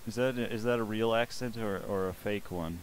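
A man's voice: fairly low pitch, a little fast, background noise. A young man streaming a social VR game, chatting casually and politely pestering the strangers he meets. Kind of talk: casual gaming commentary.

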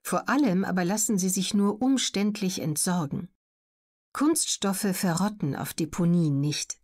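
A woman reading scripted educational narration as an audiobook narrator, in a measured, articulate way. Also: fairly low pitch, a little mellow.

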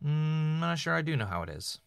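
A man's voice: a little high, big pitch swings, brisk, vocal fry, smooth, no room sound, studio quality. A man playing a driven, uneasy podcaster investigating mysterious tapes, earnest and conversational in an audio drama. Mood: casual. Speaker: podcast host.

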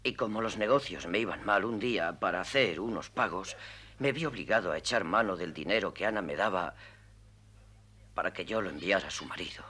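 A man's voice: low-pitched, fairly quick, pushed and bright. An actor in a Spanish cartoon dub playing a guilt-ridden middle-aged drinker who hides his real name, pleading and desperate. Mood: remorseful.

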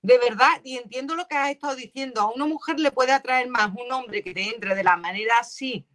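A woman's voice: a little high, a little fast, a bit bright. A woman in her forties giving emphatic, opinionated commentary in a casual debate, repeating herself, on a video call from home. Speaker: online show panelist.

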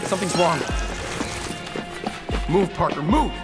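Guy acting as young student superhero, earnest n quippy, kinda high animated voice, music behind. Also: slow pace. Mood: worried, urgent. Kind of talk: audio drama acting with inner monologue.

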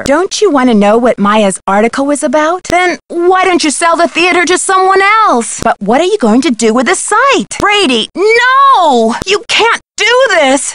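A young woman playing an earnest, bold amateur sleuth in a video game, speaking clearly and inquisitively. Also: high-pitched, a bit bright. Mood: curious, teasing, then upset, accusing.

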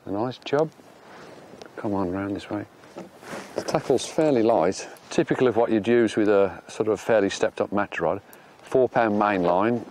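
An older English man co-hosting a fishing show, calmly explaining tackle and technique from a boat on a river. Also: fairly low pitch, slight background noise. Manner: calm, explanatory. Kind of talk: instructive fishing commentary. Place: small boat on a river outdoors.

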